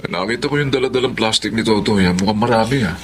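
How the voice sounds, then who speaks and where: A man playing a selfish, short-tempered gambler husband in a Filipino radio drama, gruff and dismissive in manner. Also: lowish voice, quick pace, noisy background, lightly acted. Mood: demanding.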